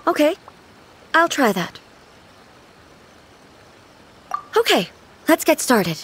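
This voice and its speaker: A woman playing a dignified, earnest deity ruler in a video game, speaking formally in a measured, pensive way. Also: high voice, very animated, a bit bright, slight background noise, full character voice. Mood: hesitant. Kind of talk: video game cutscene acting. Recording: music playing behind the voice.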